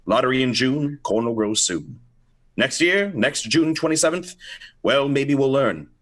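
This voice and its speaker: A man's voice: mid-range voice, animated, measured pace. A man narrating and announcing an old-time radio play script in an informal, playful way, stumbling over some lines.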